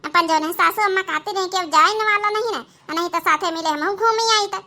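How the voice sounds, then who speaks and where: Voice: very high voice, fairly quick, noisy background. A performer voicing a sly, coaxing young village daughter-in-law in a comic cartoon family drama, with a rustic Awadhi accent and a wheedling, persuasive manner.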